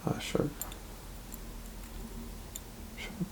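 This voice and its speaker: A woman quietly murmuring brief, hesitant answers as the patient in an ASMR exam roleplay. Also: extremely slow, background noise. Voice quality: hushed, murmured.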